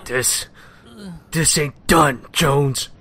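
Man as a slow, menacing gang thug. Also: fairly high pitch, bright, forceful tone, breathy edge, full character voice. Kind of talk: dramatized comic dub.

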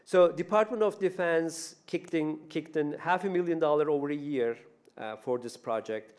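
A man's voice: on the high side, normal speed, darkish tone, clean tone. A man in his fifties with a Turkish accent, giving a public talk at a podium mic in a university lecture room, speaking conversationally with many fillers and restarts. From Turkey. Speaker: robotics professor giving a talk. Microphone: podium microphone.

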